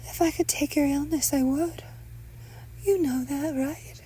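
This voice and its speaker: A woman's voice: high voice, steady tone, unhurried, warm tone, a little hiss or hum, pitched above the performer's own voice. A woman voicing an anxious, doting mother who is sugary, controlling and insistent in a dramatic script reading. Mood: tender. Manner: doting, insistent, emotionally intense.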